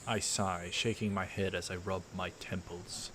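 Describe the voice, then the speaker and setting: A man hosting a let's play, reading a visual novel aloud with casual asides in a halting, measured way. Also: sits low, flat delivery, background noise.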